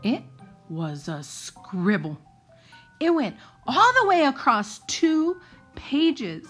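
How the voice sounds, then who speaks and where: A woman reading a children's picture book aloud in an expressive, theatrical and deliberate way. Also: sits high, faint music behind.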